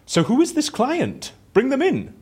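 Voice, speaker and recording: A man's voice: very high-pitched, wildly swooping pitch, measured pace, darkish tone, breathy edge, full character voice. A man acting in an audio drama as a bored, boastful, theatrical raconteur who talks chattily and self-importantly. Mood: puzzled, confident. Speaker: lead character in audio fiction.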